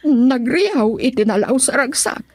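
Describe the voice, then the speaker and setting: A woman's voice: high-pitched, lively intonation, a little slow, dry recording, clean studio sound. A woman with a Filipino accent playing a stern, aggrieved middle-aged mother in a radio drama, scolding and emphatic in her delivery. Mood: indignant recounting. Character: stern, aggrieved mother confronting and protecting her pregnant daughter. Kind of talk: Ilocano radio drama acting.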